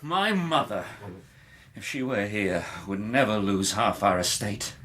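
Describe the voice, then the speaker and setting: An arrogant young English gentleman turned criminal in a radio play. His voice is forceful and cutting, swinging widely in pitch, with a haughty, contemptuous sneer that is ready to explode. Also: sing-song, unhurried. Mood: bitter. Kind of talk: radio drama acting.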